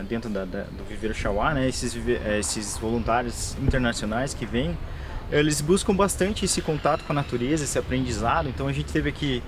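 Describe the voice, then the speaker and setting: A man's voice: medium pitch, background noise. A Brazilian man, a worker at a plant nursery, explaining the work to an interviewer on site with many fillers and restarts. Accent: Brazilian Portuguese. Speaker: nursery worker at a conservation NGO. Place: plant nursery and lab on a rural property.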